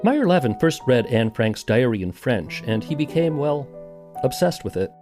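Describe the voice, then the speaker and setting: A man's voice: mid-range voice, sing-song, moderate pace. A man hosting a podcast, reading scripted documentary narration. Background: music.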